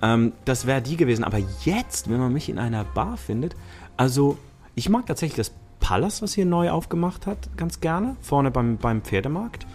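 A man from Switzerland, a musician chatting as a podcast guest, lively and talkative with many fillers. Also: mid-range voice, moderate pace, faint music behind. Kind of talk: casual chat.

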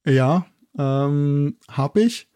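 A man's voice: fairly high pitch, drawn-out words, warm tone, clean tone, no echo, clean studio sound. A middle-aged man from Germany chatting as a professor guest in a casual interview, thinking aloud as he goes.

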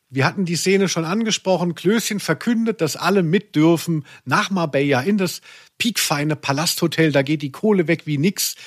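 A man's voice: on the high side, no echo, clean studio sound. A man chatting casually and humorously as a podcast host. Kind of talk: casual humorous chat about audio plays.

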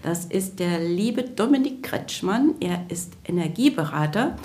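A woman with a slightly low voice hosts a podcast chat, still nursing a cold. She talks in a friendly, rambling way, full of fillers and restarts. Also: expressive, unhurried, a little mellow, roomy sound. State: has a cold. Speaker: podcast co-host. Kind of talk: podcast interview.

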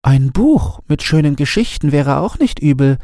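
A man's voice: a little high, big pitch swings, moderate pace, dark tone, no room sound, clean studio sound, voice pushed up. A man voicing bored, wistful garden bushes with a plaintive manner in a bedtime fairy tale. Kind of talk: bedtime storybook character voice.

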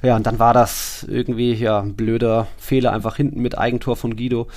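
Creaky-voiced man in casual football chat. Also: mid-range voice, flat delivery, fairly quick, a little mellow. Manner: conversational, colloquial. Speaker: football podcast co-host.

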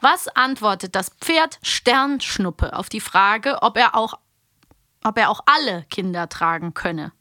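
A woman co-hosts a podcast in casual conversation. Her voice sits at a middle pitch and is recorded very dry and close. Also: animated, measured pace, sharp tone, no room sound. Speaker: podcast co-host. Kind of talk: casual chat.

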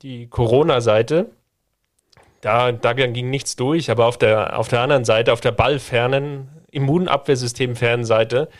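A man hosts a football podcast with a stuffed-up, congested voice from a sinus infection. He sits at a mid pitch and keeps the chat relaxed and lightly joking as he steers the conversation. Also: normal speed. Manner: relaxed, jokey, leads the conversation. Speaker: podcast host.

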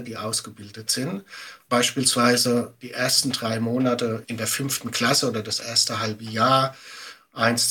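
A man's voice: mid-range voice, sharp tone, breathy edge. A man, middle-aged, explaining and giving earnest, emphatic advice as an expert guest in a podcast interview. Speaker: guest media literacy educator.

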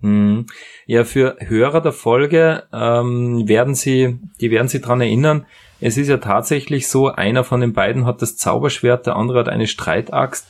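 A man's voice: medium pitch, moderate pace. A man with a Bavarian-Austrian accent co-hosting a podcast, chatting casually in folksy, repetitive phrasing. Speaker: podcast co-host. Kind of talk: casual chat.

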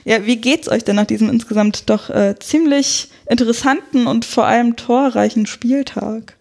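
Woman, from Berlin, podcast host, gravelly, casual chatty delivery. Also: a little high, normal speed, darkish tone. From Berlin, Germany. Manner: chatty, with fillers and self-corrections. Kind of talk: casual football podcast hosting.

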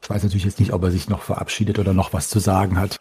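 A man's voice: sits low, level tone, very fast, warm tone, a little hiss or hum. A man narrating a tabletop roleplaying game as game master in a casual, explanatory way.

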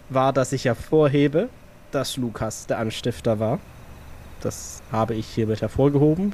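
A man acts out an earnest male vampire reporting back in an audio drama. His voice is mid-range and everyday, with halting self-corrections. Faint noise and ambience sit behind him. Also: medium pitch, a little mellow, natural voice. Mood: explaining, evasive. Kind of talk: audio drama acting, improvised feel.

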